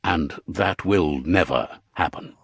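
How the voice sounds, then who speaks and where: A man playing the storyteller of a fantasy video game, narrating in a measured, dramatic, fable-like way with a husky voice. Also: low-pitched, noisy background, exaggerated voice. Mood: ominous storytelling.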